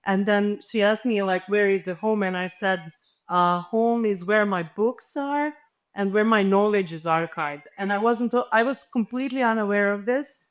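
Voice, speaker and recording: A woman's voice: medium pitch, normal speed, smooth. Hesitant woman panelist, native Serbo-Croatian speaker. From former Yugoslavia. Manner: hesitant, thinking aloud with many fillers. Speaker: panelist and literature researcher. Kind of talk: reflective panel discussion answer.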